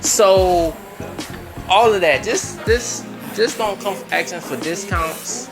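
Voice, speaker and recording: A man's voice: high voice, slow, over music. A man hosting a casual interview, loose and joking, speaking in slang and turning to address the viewers. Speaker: interviewer host.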